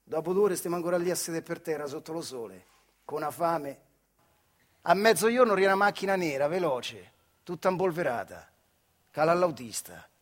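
A man with a central Italian accent from the Marche, performing a theatrical radio monologue in colloquial dialect with dramatic pauses. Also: fairly high pitch. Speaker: stage actor and storyteller performing a monologue.

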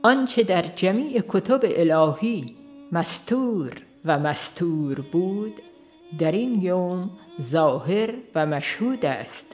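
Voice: medium pitch, lively intonation, soft background music. An audiobook reader, giving a scripted narration of a religious book.

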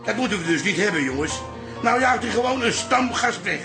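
Grumbling old pub father, radio drama: high-pitched, blunt, tight-fisted, piano music underneath. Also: animated, a little fast. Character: grumbling, penny-pinching older father in a pub. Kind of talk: radio drama acting, colloquial.

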